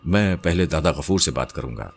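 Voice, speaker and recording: A man's voice: extremely low pitch, lively intonation, creaky, faint music behind, exaggerated voice. A man voicing a cheerful, weary uncle in an audio story, with an easygoing, familiar manner. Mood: pleased.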